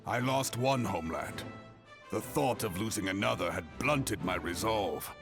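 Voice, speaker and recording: A man's voice: lowish voice, lively intonation, a little slow, creaky, over music. A man playing a proud, battle-hardened warrior leader in a fantasy video game, grave and resolute.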